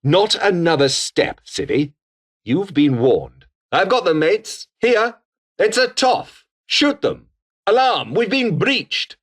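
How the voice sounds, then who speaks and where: A British revolutionary foot soldier in a video game. His pitch leaps and drops dramatically, and he takes his time over the words. He is foul-mouthed, taunting and full of menace, with theatrical delivery. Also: sing-song, slow, full character voice. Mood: alarmed, urgent. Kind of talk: video game combat barks.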